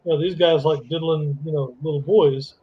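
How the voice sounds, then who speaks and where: A man's voice: fairly high pitch, steady tone, normal speed, lo-fi sound. A man co-hosting a podcast in casual chat, opinionated, crude and rambling. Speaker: podcast co-host.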